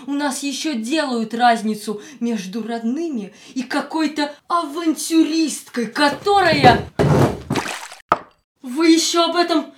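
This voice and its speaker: A haughty, grasping sister. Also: female voice, sits high. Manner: haughty, cold, contemptuous. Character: cold greedy sister of the dead man, a haughty middle-aged woman. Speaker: actress in a radio play. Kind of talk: radio drama acting.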